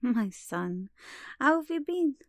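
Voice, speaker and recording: A woman plays a tender long-lost mother in an audio drama. Her fairly high voice is close and dry, gently maternal and knowing, with quiet reproach. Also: big pitch swings, slow, no room sound, heavily acted. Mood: affectionate.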